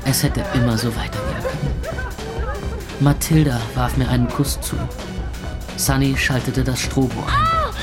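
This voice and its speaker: A man's voice: medium pitch, huge pitch swings, normal speed, pauses often. An actor playing a wry, jaded young man in his twenties, narrating a radio play tersely in the first person. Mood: euphoric. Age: 20s. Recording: loud club music and crowd behind. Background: loud music and crowd.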